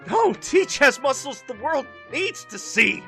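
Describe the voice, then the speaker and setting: A swaggering, muscle-proud brute of a fantasy warrior. He blusters in slangy boasts with a bright, gravelly bite and big swoops of pitch, over music. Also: big pitch swings, unhurried, full character voice. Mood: cocky boasting. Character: boastful, dim, muscle-proud male warrior speaking in third person. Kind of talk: fan audio drama acting.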